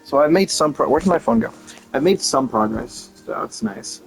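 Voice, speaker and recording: A man's voice: a little high, expressive, normal speed, gravelly, soft background music, poor audio. Rambling man commenting over a game. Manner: rambling, thinking aloud. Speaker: gaming video host. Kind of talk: casual playthrough commentary.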